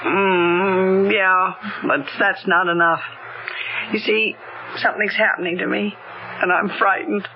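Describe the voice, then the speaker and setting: An actress playing an anguished, neglected wife in an American radio drama, speaking in a halting, earnest, drawn-out way. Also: female voice, medium pitch, flat delivery, a little hiss or hum, lightly acted. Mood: frightened, despairing. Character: anguished, neglected wife who feels herself fading away. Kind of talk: old-time American radio drama acting. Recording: dull old radio broadcast with music.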